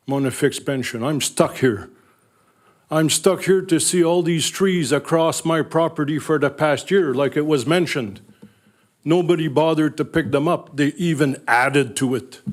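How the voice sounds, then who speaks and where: An older man with a French Canadian accent, French his first language, speaking earnestly and bluntly as a resident addressing a town council. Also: a little high. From Northern Ontario, Canada. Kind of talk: public comment at a council meeting.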